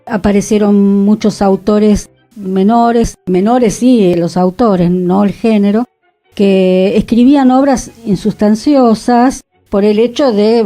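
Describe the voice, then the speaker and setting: A woman, the director and co-host of a radio show, talking in a thoughtful, unhurried way. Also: medium pitch, dark tone. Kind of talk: relaxed radio conversation.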